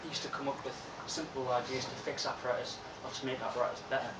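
A soft-spoken young man giving an informal lecture, relaxed and chatty with frequent ums. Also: medium pitch, fast talker. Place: lecture room with projector, indoors. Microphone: far room camera. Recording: echoey room from far off with loud hiss.

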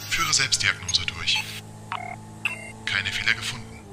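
A man's voice: lowish voice, big pitch swings, unhurried, loud music behind the voice. A man playing a polite, literal-minded talking car computer in an amateur radio play, formal and precise. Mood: alert, factual.

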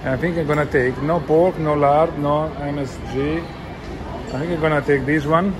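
A man's voice: a little high, fairly even intonation, moderate pace. A man in his forties talks casually to a phone camera held at arm's length as he tours an indoor mall and restaurant, thinking aloud in non-native English phrasing. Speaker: travel vlogger. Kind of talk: casual vlog narration. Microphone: handheld phone camera at arm's length. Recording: loud crowd and mall noise. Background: crowd chatter and mall noise.

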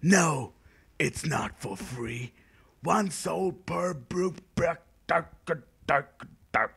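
Cartoon devil dealer: husky rasp, extremely slow, sly and menacing. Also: male voice, fairly high pitch, animated, a bit bright, full character voice. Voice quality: gravelly, husky.

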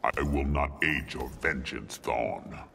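An actor playing an imperious alien god-tyrant in a video game, with gravelly, pompous, commanding menace. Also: male voice, takes it slowly, sharp tone, a little hiss or hum, full character voice. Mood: cold menace. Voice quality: gravelly, very deep.

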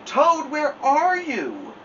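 A man voicing a cheerful, eager young male frog in a storybook, calling out brightly. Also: moderate pace, muffled recording. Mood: excited. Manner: high excited calling. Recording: distant mic with background noise.

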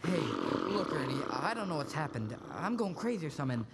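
A man's voice: on the high side, sing-song, a little fast, very noisy. A young man playing a frantic, bewildered family man who stammers, in a live radio play. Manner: agitated, stammering. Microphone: stand microphone on stage. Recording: reverberant school auditorium stage.

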